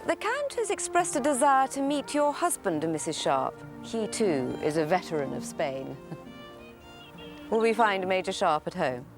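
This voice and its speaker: Aristocratic lady, slow measured speech, expressive pitch, formal courtesy, period drama, music behind. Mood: gracious, arch. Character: poised, coolly courteous aristocratic English lady.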